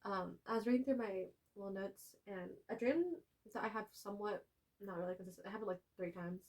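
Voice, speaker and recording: Young woman, podcast co-host, animated storytelling, mid-pitched voice. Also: brisk, rough recording. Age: young adult. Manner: animated storytelling with frequent laughs. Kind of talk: casual chat.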